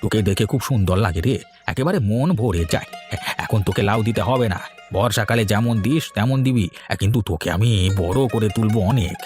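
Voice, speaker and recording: A man's voice: lowish voice, a little fast, lightly acted. A man voicing a contented old village husband in a cartoon, affectionate and coaxing in the way he talks. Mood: fond, contented. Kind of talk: animated cartoon dialogue. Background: music.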